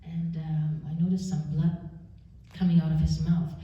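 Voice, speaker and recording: A woman in her forties from Canada giving an earnest, measured personal testimony at a church service, pausing often. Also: low-pitched, level tone. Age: 40s. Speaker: church speaker. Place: church sanctuary. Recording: roomy church, distant mic, dull sound.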